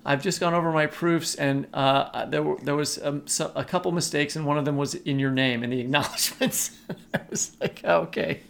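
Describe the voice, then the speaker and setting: A man's voice: fairly high pitch, fairly even intonation, moderate pace, vocal fry, fairly bright tone. A man hosting a podcast interview in a casual, informal, joking way, as a fellow novelist. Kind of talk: casual interview chat and sign-off.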